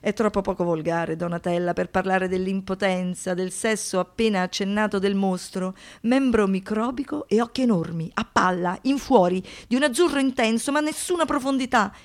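A woman giving scripted documentary theatre narration with deliberate, expressive, pointed phrasing. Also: medium pitch. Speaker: stage narrator.

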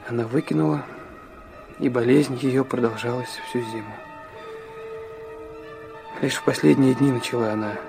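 An actor playing an earnest young man narrating his confessional memoir in a Russian literary radio drama, reflective and dramatic, with pauses. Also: mid-range voice, vocal fry, a little mellow. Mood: sorrowful.

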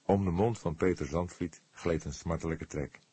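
Diepe, hese mannelijke verteller. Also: male voice, deep voice, steady tone, normal speed, warm tone, slightly rough, no echo. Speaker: audiobook narrator. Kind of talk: scripted literary narration.

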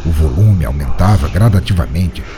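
A man narrating a first-person horror story in a measured, grave way. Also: extremely low pitch, lively intonation, over music.

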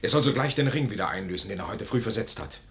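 Radio drama: proud, impulsive army major in love, lowish forceful voice, highly animated, urgent. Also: male voice, fast talker. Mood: urgent, brusque. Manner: impassioned, urgent, theatrical. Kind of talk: classical German stage-play radio acting.

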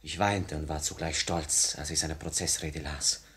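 An earnest revolutionary with a very low-pitched voice. He is solemn, heartfelt and devoted, declaiming in the style of 1950s German radio drama. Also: steady tone, normal speed, a bit bright. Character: earnest, emotional male revolutionary, once fearful, now devoted.

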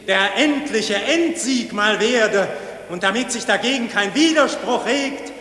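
High, echoing, merciless cosmic judge. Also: male voice, lively intonation, bright, forceful tone, heavily acted. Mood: menacing, rising. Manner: declamatory, proclaiming in measured rhyme. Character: solemn, merciless cosmic voice passing judgment on mankind. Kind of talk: declaimed rhymed verse, vintage German radio drama. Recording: echoey hall with long reverb.

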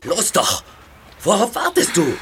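A bossy male crook in an audio drama gives slow, urgent commands. His pitch swings widely, and clear noise with vehicle ambience surrounds him. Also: huge pitch swings, heavily acted. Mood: impatient, strained. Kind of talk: German audio drama acting.

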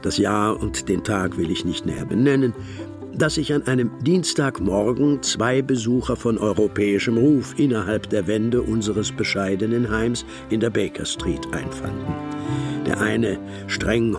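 An actor playing a gentleman narrator who recalls a detective case in measured, literary radio drama narration. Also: on the low side, gravelly. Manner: measured, literary, deliberate storytelling. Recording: music behind the voice.

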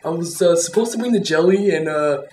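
A prank caller talking in a silly, halting way on a crude phone call. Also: mid-range voice, a little mellow, a little hiss or hum. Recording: echoey distant phone prank recording, music behind.

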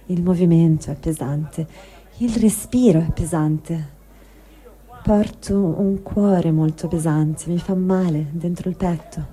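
A woman giving a slow, grave dramatic reading of diary texts for a radio program. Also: on the low side, warm tone, husky. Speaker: reader.

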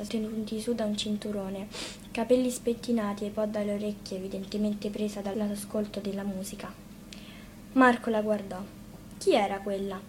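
Woman reading a fairy tale, noisy recording. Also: medium pitch. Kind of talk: scripted fairy-tale narration. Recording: clearly noisy live radio studio recording.

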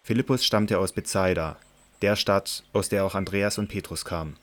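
A man narrating scripture in a measured, solemn reading for a radio drama. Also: low-pitched, a bit bright.

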